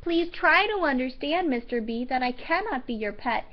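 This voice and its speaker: An earnest, polite little storybook girl, acted out by a woman, pitched high and tender. She speaks with singsong emphasis, and the recording is slightly dull. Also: expressive, moderate pace. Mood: earnest pleading. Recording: dull lo-fi sound.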